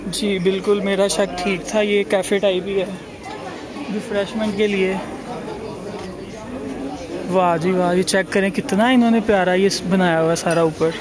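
A man's voice: high voice, normal speed, long pauses. Chatty man touring a bazaar. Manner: relaxed, chatty, musing aloud. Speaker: travel vlogger. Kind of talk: casual walking tour commentary. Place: outdoor market courtyard. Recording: busy crowd noise behind.

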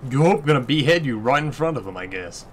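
A man's voice: medium pitch, animated, fairly quick, a little hiss or hum. A man giving casual, crude, sweary gameplay commentary, musing aloud as he goes. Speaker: let's play commentator.

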